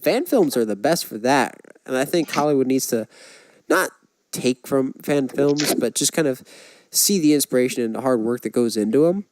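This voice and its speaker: A man's voice: mid-range voice, lively intonation, normal speed, a little mellow, dry recording, low-quality recording. A man co-hosting a podcast, talking casually about films in an opinionated, emphatic way. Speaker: podcast co-host. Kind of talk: casual film discussion.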